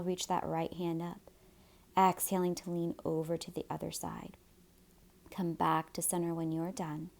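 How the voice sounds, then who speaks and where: A woman teaching gentle yoga and guided meditation in a soft, soothing, breathy way. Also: medium pitch.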